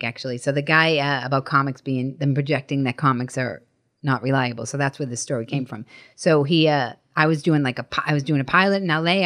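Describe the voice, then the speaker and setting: Low-voiced, fast-talking middle-aged woman. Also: a bit bright. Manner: blunt, emphatic, swearing, acts out quoted dialogue. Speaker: guest, stand-up comedian. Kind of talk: animated anecdotal storytelling in a podcast interview.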